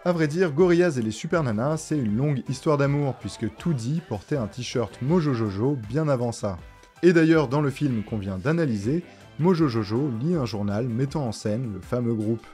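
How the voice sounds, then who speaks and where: Man narrating, mellow, over music. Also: medium pitch, expressive, normal speed. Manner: informal, rhetorical questions, direct address to viewers. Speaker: host of a cartoon video essay channel. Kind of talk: conversational scripted video essay narration. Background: background music.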